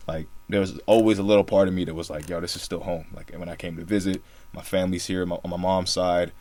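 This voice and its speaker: A man's voice: low-pitched, flat delivery, fast, darkish tone, dry recording. A young man from Singapore co-hosting a podcast, telling his story in a laid-back way with lots of fillers. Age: young adult. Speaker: podcast co-host. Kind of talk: casual podcast chat.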